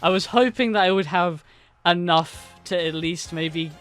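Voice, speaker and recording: A man's voice: high voice, normal speed, a bit bright, faint music behind. A young man co-hosting a casual movie review chat, agreeable, stammering and laughing. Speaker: co-host.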